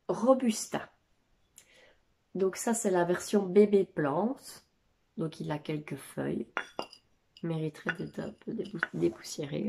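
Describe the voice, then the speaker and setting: A woman in her thirties with a cold and a nasal voice, chatting casually about her houseplants at home, pausing often with filler sounds. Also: lowish voice, vocal fry, dark tone, breathy edge. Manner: hesitant, many filler sounds. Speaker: plant vlogger. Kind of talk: casual chat. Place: home living room.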